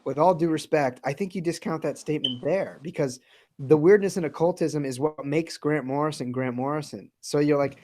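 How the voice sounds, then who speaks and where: A man's voice: a little high, fairly quick, noisy background. A man hosting a casual comics chat, talking with enthusiasm, swearing now and then and leaning on fillers. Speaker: podcast host.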